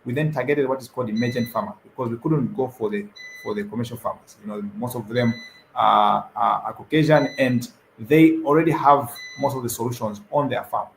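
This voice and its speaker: Gravelly young man, halting panel answer. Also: mid-range voice, steady tone, a little hiss or hum, low-quality recording. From Zambia. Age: young adult. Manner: hesitant, repeats words, many fillers. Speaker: entrepreneur panel guest.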